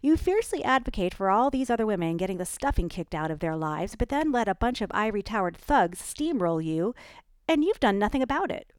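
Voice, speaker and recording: A woman voicing Annika, a wounded, sharp-tongued married friend, in an audiobook reading. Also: moderate pace, no room sound, natural voice. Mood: cutting. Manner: cutting, wounded, low-voiced.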